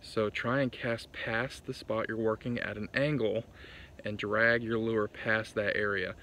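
A young man talks straight to the camera in a chatty, upbeat way. His voice sits a bit low. It is recorded on a phone held at arm's length outdoors by a lake, with faint open-air ambience behind him. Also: flat delivery, unhurried, pushed and bright, a little hiss or hum. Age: young adult. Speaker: fishing vlogger. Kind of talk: casual outdoor tips vlog. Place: outdoors on a lakeshore at dawn.

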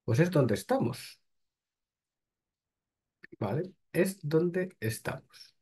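A man's voice: fairly high pitch, expressive, slow pace, mellow, husky. A man, hosting and reading crypto news aloud, then breaking off with short blunt remarks. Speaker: host.

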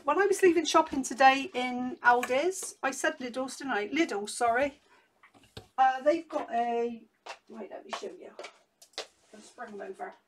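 A middle-aged woman, hoarse from losing her voice, chatting casually and rambling through a craft haul at her desk at home. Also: high-pitched, unhurried, noisy background. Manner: rambling and chatty, many restarts. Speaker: crafting vlogger.

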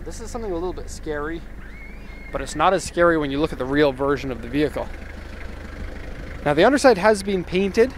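A man in his thirties giving an upbeat, casual walkaround review of a truck outdoors in a park, recorded on a handheld camera mic. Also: on the high side. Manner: upbeat and enthusiastic, with laughs. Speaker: car exporter reviewing a vehicle. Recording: outdoor noise behind voice.

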